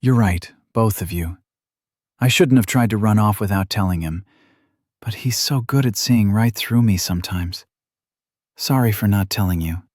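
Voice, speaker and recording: A man's voice: fairly low pitch, normal speed, darkish tone, dry recording, clean studio sound, natural voice. A man voicing an earnest, headstrong young noblewoman with an anxious edge in an audiobook. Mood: apologetic. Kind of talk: audiobook character acting.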